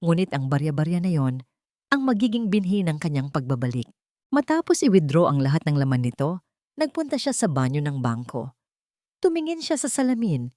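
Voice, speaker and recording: A woman's voice: deep voice, very animated, fairly quick, warm tone, no echo, studio quality. A woman reading a scripted dramatic story in Tagalog as its narrator.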